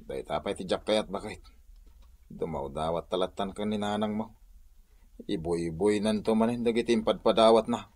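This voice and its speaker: A man playing a comic village husband, grumbling and boastful, with a husky voice and a Northern Luzon Filipino accent in a radio comedy drama. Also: lowish voice, normal speed, a little mellow, no echo, close to an everyday voice.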